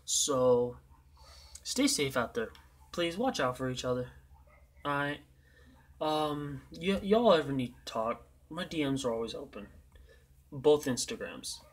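A young man with a hoarse voice he is losing, rambling in a tired, casual way as he talks over a racing game. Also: medium pitch, expressive, a little slow, pauses often. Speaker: gaming channel host.

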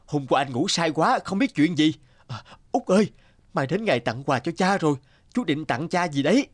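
Scheming lazy older brother, self-satisfied, falsely courteous, greedy, animated fable voice. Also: male voice, a little high, normal speed, no room sound. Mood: feigned concern. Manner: smug, falsely polite. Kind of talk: animated folk tale acting.

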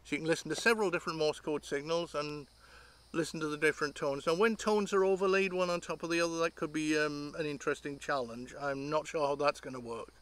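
Man, fifties, fairly high pitch, casual unscripted vlog, halting, rambling, recorded outdoors. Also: steady tone, fairly bright tone. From Great Britain. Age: 50s. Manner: rambling, halting, thinking aloud. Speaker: hobbyist vlogger. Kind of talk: casual unscripted talk to camera. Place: outdoors among trees.

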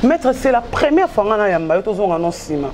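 A woman hosting a casual interview on a small studio set, prompting her guest with quick, chatty questions. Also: mid-range voice, big pitch swings. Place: small studio with a branded backdrop. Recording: noisy studio recording.

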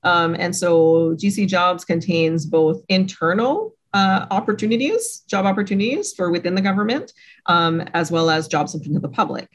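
A woman's voice: fairly low pitch, normal speed. A middle-aged woman, a native French speaker, explaining hiring processes on a webinar in a conversational, hesitant way with many fillers. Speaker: staffing expert, panelist. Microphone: video call.

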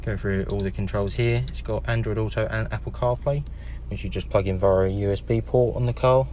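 A man's voice: lowish voice, fairly quick. A man with an English accent, casually narrating a walkaround of a car on a dealer forecourt while filming on his phone. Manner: plain, relaxed commentary with repeated fillers. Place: outdoors on a used car forecourt, then inside the car. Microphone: phone camera held by the speaker. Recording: phone video with clear background noise.

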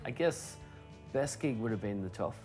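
A young adult man, chatting casually as a musician guest in a bar booth, relaxed and rambling with fillers. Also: lowish voice, moderate pace, soft background music. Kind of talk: casual interview chat.

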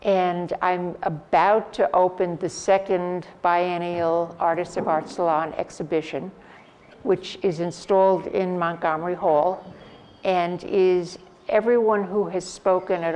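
A woman hosting an art salon, giving a measured, formal introduction of the evening's speaker. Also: lowish voice, fairly even intonation, a little mellow. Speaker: host of art salon talks.

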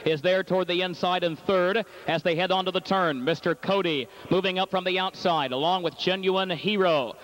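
High-voiced man calling a harness race. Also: a little hiss or hum, poor audio. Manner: rapid, rising excitement in the stretch call. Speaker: race announcer. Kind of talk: harness race call and track announcements. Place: racetrack announcer's booth at a fair.

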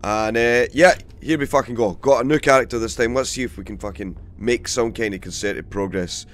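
A man with a cold, hoarse and gravelly, casually commentating a game he plays and swearing freely. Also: medium pitch, a little fast. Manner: swears freely, rambling and chatty. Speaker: let's play host.

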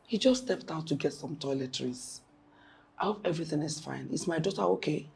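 A woman's voice: low voice, lively intonation, brisk, gravelly, darkish tone, breathy edge, heavily acted. A woman acting a middle-aged wife and mother who pleads guiltily and stammers, repeating herself, with noise behind her. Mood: anxious. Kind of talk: melodramatic screen acting.

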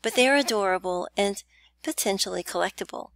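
A woman teaching essay writing over slides, talking in a relaxed, chatty way with small laughs and ums. Her voice sits at a middle pitch. The recording is dry and close, with no room sound. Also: fairly quick, no room sound. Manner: chatty, with light laughs and fillers. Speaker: writing instructor. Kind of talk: narrated slide lecture.

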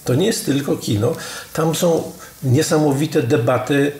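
Male co-host, very gravelly, ironic and halting, roomy echo. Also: a little high, a little mellow, a little hiss or hum. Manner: wry, ironic, halting restarts. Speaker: podcast co-host, culture critic. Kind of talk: casual conversation about films. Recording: echoey room.

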